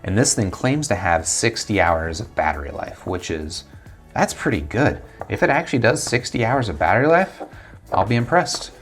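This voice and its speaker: Low-pitched American man reviewing gadgets. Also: big pitch swings, moderate pace, faint music behind. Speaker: tech product reviewer. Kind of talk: casual unboxing review talk to camera. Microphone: lapel mic.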